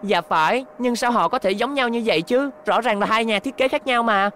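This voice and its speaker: A woman's voice: a little high, fairly quick, a bit bright, a little hiss or hum, close to an everyday voice. A woman dubbing an innocent, earnest young boy robot in a cartoon, asking questions. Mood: curious, puzzled.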